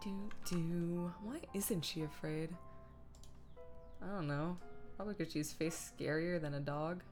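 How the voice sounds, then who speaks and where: A woman's voice: on the low side, fairly even intonation, unhurried, darkish tone, soft background music. A woman streamer chatting casually while she works at a computer, talking through small tasks in short asides. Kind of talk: casual livestream chat while editing images.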